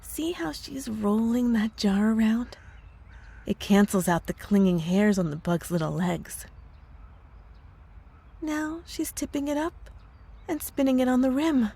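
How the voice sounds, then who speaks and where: Slow, sugary, menacing witch girlfriend, acted by a woman. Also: fairly high pitch, expressive, creaky, dark tone, slightly rough, full character voice. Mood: cooing, gleeful. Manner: sugary, cooing, drawn-out words with menacing undertone. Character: possessive young witch girlfriend, sweetly menacing, gaslighting. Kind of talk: ASMR roleplay audio drama.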